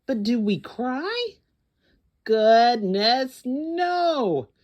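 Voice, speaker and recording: Very animated woman reading to children, slow-paced. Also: a little high, highs cut off. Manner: theatrical, with gasps and exclamations; slow, deliberate phrasing for young children. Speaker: children's story time host. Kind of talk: animated read-aloud picture book storytelling with sung refrains.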